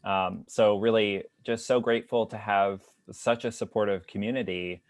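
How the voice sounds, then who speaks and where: A man's voice: on the low side, fairly even intonation, normal speed, no room sound. A young man from Long Island, New York, speaking as a guest in a casual, friendly presentation, upbeat and grateful, with frequent ums. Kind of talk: casual presentation to an online audience.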